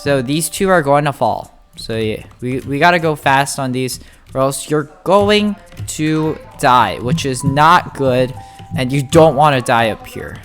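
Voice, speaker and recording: Casual gaming guide narrator: mid-pitched, informal, chatty walkthrough commentary over background music. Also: measured pace, a bit bright. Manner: chatty, informal, addresses viewers as buddies. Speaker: gamer making a tutorial. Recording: music playing behind the voice.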